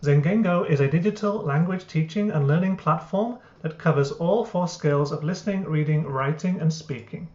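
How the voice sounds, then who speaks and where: Man explaining software, echoey lo-fi. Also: a little high, normal speed, few pauses. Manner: explanatory, many uh and um fillers. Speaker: software founder giving a tutorial. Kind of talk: screen-recorded software walkthrough. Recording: roomy, dull lo-fi.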